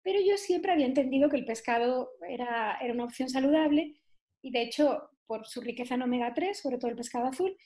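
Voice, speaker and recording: A woman, a nutrition therapist guest, recounts her own experience in a talkative, meandering way. Her voice sits high but sounds warm and soft rather than bright. She leans on fillers and little tag questions as she goes. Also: fast. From southern Spain. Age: 40s. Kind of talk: personal storytelling in an interview.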